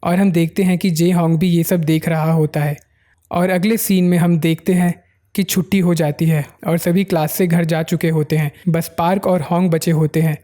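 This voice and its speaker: An anime recap narrator retelling an episode scene by scene in a steady, storytelling flow. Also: mid-range voice.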